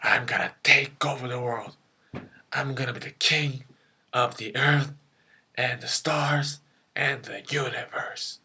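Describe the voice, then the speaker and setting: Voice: medium pitch, steady tone, takes it slowly, sharp tone, husky, heavily acted. A performer voicing a boastful, power-hungry devil in an amateur puppet show.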